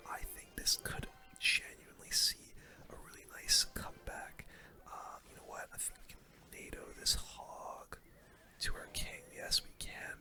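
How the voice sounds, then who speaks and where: A gaming host speaks in a breathy, unvoiced whisper with bright, sibilant crispness. The delivery is ASMR game commentary, casual and slangy, narrating each move as it comes. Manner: relaxed casual gamer slang.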